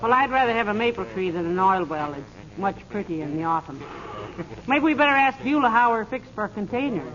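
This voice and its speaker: An actress playing a patient, middle-aged wife with a dry, gently sarcastic manner in a 1940s radio comedy. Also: female voice, mid-range voice, expressive, a little fast, lightly acted. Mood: matter-of-fact. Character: patient, wry, teasing wife. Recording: noisy, dull 1940s radio recording.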